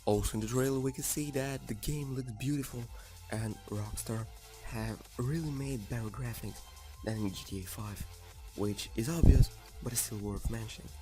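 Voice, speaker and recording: Raspy, hoarse young-sounding man narrating. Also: lowish voice. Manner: enthusiastic, informal, addresses viewers. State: sick, hoarse. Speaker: gaming YouTuber. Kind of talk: game preview voice-over.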